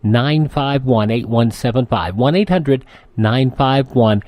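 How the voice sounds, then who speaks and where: A man's voice: mid-range voice, slow pace, a little mellow, no room sound. A man delivering a scripted radio advertisement with direct, repetitive selling. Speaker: advertising pitchman.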